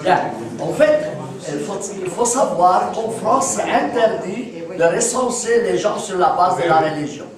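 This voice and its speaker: A man in his sixties from Palestine gives a talk to a live audience, walking them through examples and checking that they follow. His voice sits high for a man. He sounds distant from the microphone, and the room adds heavy echo. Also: expressive, measured pace, few pauses, a crowd in the background. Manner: explanatory, asks listeners for agreement. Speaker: speaker at a public talk. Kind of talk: lecture with audience questions. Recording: echoey room, speaker far from the mic.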